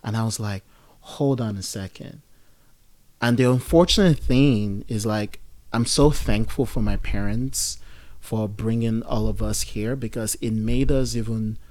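A man's voice: fairly low pitch, a little slow, a little mellow. A man in his thirties from Nigeria, talking casually and reflectively in a conversation, with lots of fillers and restarts. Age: 30s. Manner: reflective, rambling, many fillers. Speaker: guest.

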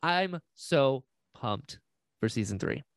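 Excitable, playful man, lively pitch swings. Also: slow, gravelly, dry recording, clean studio sound. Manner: enthusiastic, gushing, playful teasing. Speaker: podcast host and voice actor. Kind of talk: casual interview chat.

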